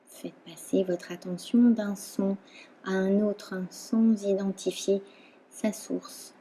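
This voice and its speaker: A woman instructor guiding a relaxation meditation in a calm, soothing manner, leaving long pauses between phrases. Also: medium pitch, rough recording. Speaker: yoga nidra instructor.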